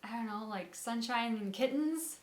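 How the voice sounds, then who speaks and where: A woman's voice: a little high, moderate pace. A woman co-hosting a casual reaction show, musing aloud and giving emphatic opinions on a story. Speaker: co-host of a game reaction show. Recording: echoey room.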